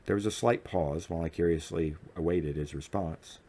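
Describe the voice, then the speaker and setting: A low-voiced man reads scripted fiction aloud. He narrates in the first person as an audiobook reader. His delivery is measured and dramatic. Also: mellow.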